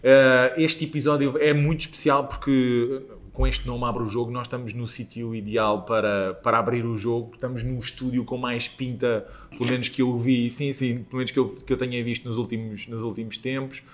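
Man, European Portuguese accent, interview host, mid-pitched, jokey, tag questions. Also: level tone, brisk, few pauses. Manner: joking, chatty, asks with many tag questions. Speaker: podcast host. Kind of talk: casual interview hosting.

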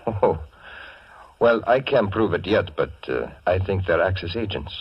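A courteous, earnest Greek immigrant man in a 1940s radio play, with a very deep, rather husky voice. He speaks politely and guardedly, on a muffled vintage recording. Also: very animated, unhurried, no echo, heavily acted. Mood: earnest, confiding. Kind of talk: 1940s radio drama acting.

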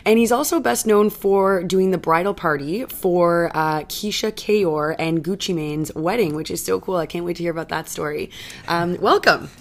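A woman's voice: on the low side, normal speed. A woman hosting a casual, chatty interview podcast.

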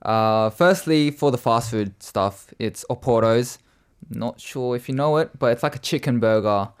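A man's voice: medium pitch, measured pace, clean tone. A man with a mild Australian accent chatting casually and informally as a show guest. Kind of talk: casual chat.

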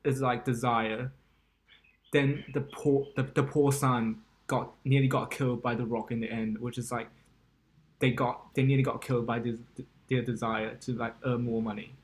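A man's voice: mid-range voice, little pitch movement, moderate pace. A young man from Taiwan co-hosting a podcast. He rambles in casual chat with frequent fillers and restarts. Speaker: podcast co-host.

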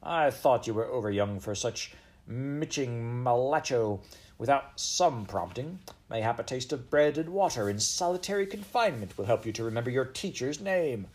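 Man voicing imperious, loud Elizabethan queen. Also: mid-range voice, lively intonation, normal speed, pitched above the performer's own voice, close to an everyday voice. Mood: sly, haughty. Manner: commanding, archaic royal speech. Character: imperious, hot-tempered, lovestruck Elizabethan English queen. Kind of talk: storybook character voice.

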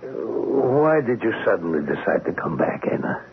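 An actor playing a kindly older cafe-owning uncle in a radio drama, with a halting, emphatic, paternal way of talking. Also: male voice, medium pitch, big pitch swings, vocal fry. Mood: probing. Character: kindly, fussing older uncle who runs a neighborhood cafe. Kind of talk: vintage radio drama acting. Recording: muffled, narrowband noisy old radio recording.

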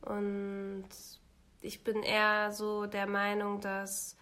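A woman's voice: medium pitch, monotone, slow, bright, forceful tone. A young woman with a cold, co-hosting a podcast and chatting at length with many restarts. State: has a cold. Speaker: podcast co-host. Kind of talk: casual chat.

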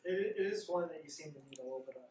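A fairly high-voiced man explains things in a halting, hesitant way during a podcast tasting. He sounds roomy and slightly off-mic, with a faint hum of ambience behind him. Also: a little mellow. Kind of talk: casual chat over bourbon tasting.

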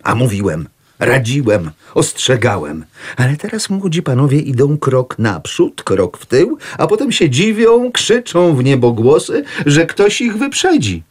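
Wścibskiego redaktora, powolny, moralizatorski, średni głos. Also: male voice, mid-range voice, huge pitch swings, a little slow, husky, full character voice. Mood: lecturing. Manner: lecturing, pompous. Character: pompous meddling newspaper editor. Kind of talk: radio drama acting.

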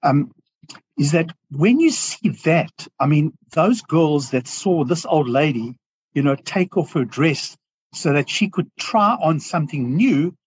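A man's voice: on the high side, lively intonation, unhurried, husky, no echo, poor audio. A man with a South African accent, a business owner speaking as a podcast guest, earnest and reflective, often restarting his sentences. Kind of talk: podcast interview.

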